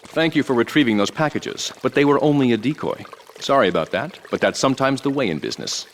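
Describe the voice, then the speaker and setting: A man voicing a suave, scheming rich tycoon who speaks in a calm, polished, dryly ironic way. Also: medium pitch, fast, slight background noise, natural voice. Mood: smooth.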